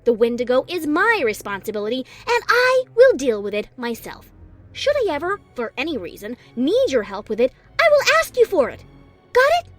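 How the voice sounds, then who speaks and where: A woman's voice: sits high, huge pitch swings, normal speed, faint music behind. A woman voicing a stern, proud young unicorn mare sorceress in a fan audio drama, clipped and commanding. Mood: angry.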